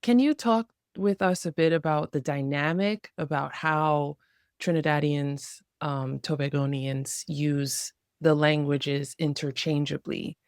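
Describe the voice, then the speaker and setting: A woman hosts a podcast, asking questions with curiosity and engagement. Her voice sits low in pitch. The sound is dry, with little room in it. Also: fairly even intonation, measured pace, darkish tone, clear, resonant tone, dry recording. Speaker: podcast host. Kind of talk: podcast interview.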